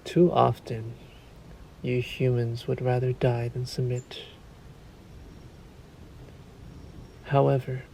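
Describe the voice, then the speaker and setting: A man playing a sadistic, courtly vampire lord in a roleplay audio drama, suave and menacing, with formal, drawn-out politeness. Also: mid-range voice, vocal fry, mellow, slight background noise, low-quality recording. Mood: gloating courtesy. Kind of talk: scripted roleplay audio drama, addressed to listener.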